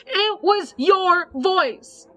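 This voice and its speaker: A man voicing an enraged young wife who works as a nurse, shouting accusations at her husband with a shaking, shrill voice. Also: sing-song, a little slow, soft background music, heavily acted. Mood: furious. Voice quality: shrill, swearing, voice trembling.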